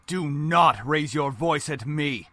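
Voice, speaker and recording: A man's voice: fairly high pitch, slow pace, sharp tone, no echo. A man playing a cold, domineering middle-aged father in a visual novel, stern and condescending in manner. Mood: furious, contemptuous. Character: cold, domineering, angry father and business executive.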